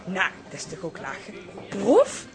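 An actress playing a bubbly, brash young seamstress with a southern Dutch Brabant accent, gushing and informal, in a radio drama. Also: female voice, on the low side, huge pitch swings, measured pace, gravelly, soft background music, heavily acted. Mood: cheery, curious. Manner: gushing, informal, provincial slang. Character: bubbly, brash young seamstress newly arrived, slangy and chummy. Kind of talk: modern radio drama acting.

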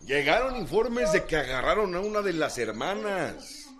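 An actor playing a coarse, bullying soldier under a cruel general in a radio drama, with a Mexican accent. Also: male voice, high voice, big pitch swings, moderate pace, a crowd in the background. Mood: reporting, deferential. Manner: coarse, taunting, shouting orders.

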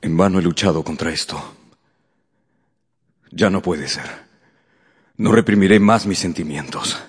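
An actor playing a proud, reserved gentleman suitor in a radio drama, speaking formally and haltingly. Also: low voice, husky. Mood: tormented, ardent.

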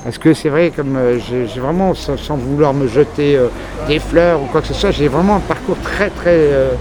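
French man, street interview, slightly high voice, rambling and frank, loud crowd behind. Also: normal speed, rough recording. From France. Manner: rambling, frank storytelling with many fillers. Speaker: journalist. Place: outdoors on a busy city street. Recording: crowd noise outdoors. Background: crowd and traffic.

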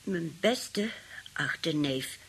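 An actress playing a feisty, scheming old great-aunt in a radio play, husky and indignant, grumbling and outspoken. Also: female voice, sits low, expressive, extremely slow, heavily acted. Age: older.

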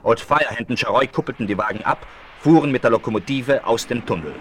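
A man's voice: medium pitch, fast. A man giving dramatic scripted narration in a 1970s western radio play. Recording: noisy vinyl, loud train sound behind.

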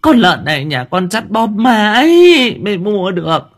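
A man's voice: high-pitched, animated, normal speed, a bit bright, voice pushed up, full character voice. A man voicing a poor elderly peasant woman who stammers and begs tearfully. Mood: wailing.

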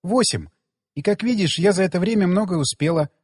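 A man reading as a proud teasing woman, husky. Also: high voice, fairly quick, a little mellow, long reverb tail. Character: proud, teasing young woman, lover of the hero.

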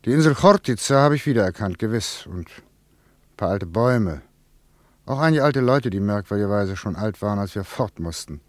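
A man playing a reflective working man in a German radio play, musing in halting phrases. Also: fairly low pitch, expressive, dry recording, natural voice. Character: Ludwig, a reflective working man returned to his childhood town.

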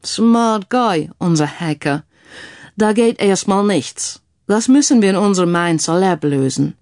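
A woman voicing a relaxed, grinning English male IT investigator in an audiobook, casually dropping in English phrases. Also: medium pitch, measured pace, no echo, studio quality. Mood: wry. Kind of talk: audiobook character dialogue.

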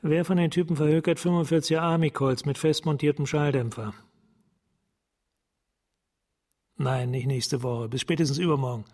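An actor playing a hard, threatening police sergeant in a German radio crime drama, speaking curtly and deliberately. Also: male voice, fairly high pitch, steady tone, darkish tone, natural voice. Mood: curt, businesslike. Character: hard, menacing male police sergeant leaning on informants.

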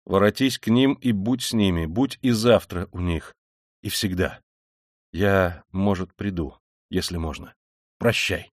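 A man voicing a brooding, tormented young man in an audiobook novel, speaking in a halting, abrupt manner. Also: low voice, no room sound, studio quality. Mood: subdued.

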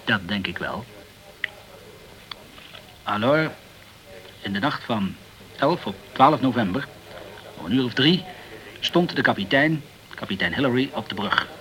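Newspaperman, courteous and talkative, mid-pitched voice, radio drama. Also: expressive, measured pace, pauses often, fairly bright tone, low-quality recording. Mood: grave, informative. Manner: courteous and chatty, peppered with French words. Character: polite, talkative French journalist in the tropical West Indies. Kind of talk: Dutch radio play acting.

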